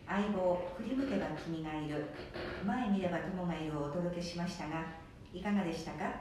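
A woman hosting an amateur radio show, reading a scripted introduction. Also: a little mellow. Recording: echoey room, distant mic, faint rumble.

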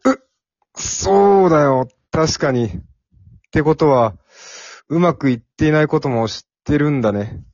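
Man playing a timid, higher-voiced young office worker. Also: measured pace, a little mellow, no echo, highs cut off. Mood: flustered. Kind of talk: amateur radio drama acting.

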